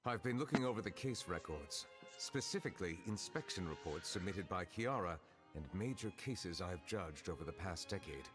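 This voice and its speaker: A dignified judge in a game, composed and formal. His voice sits very low and steady, with clear game music playing behind. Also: moderate pace. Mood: measured. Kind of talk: video game voice acting.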